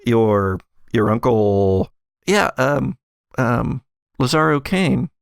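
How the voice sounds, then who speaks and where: A man puts on a bumbling small-town deputy for an audio-drama game. He stammers and doubles back, unsure of himself. The voice is creaky, close and dry. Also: mid-range voice, expressive, takes it slowly, dry recording. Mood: flustered, polite.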